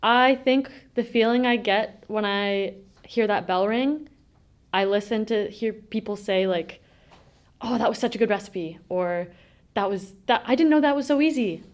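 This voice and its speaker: A woman's voice: mid-range voice, measured pace. A woman home economics teacher giving a cheerful, upbeat interview answer.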